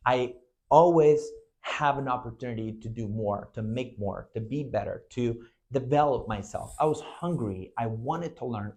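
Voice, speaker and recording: A man's voice: mid-range voice, darkish tone. A man from Venezuela in his twenties, an agency owner speaking earnestly in a recruitment video, with frequent "you know" and "right" fillers.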